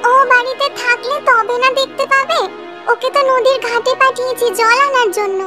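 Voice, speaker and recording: A woman's voice: very high-pitched, fairly even intonation, moderate pace. A woman voicing a caring, dutiful young village girl in a cartoon, with a childlike, earnest manner. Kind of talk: Bengali cartoon voice acting. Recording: loud music behind the voice. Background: music.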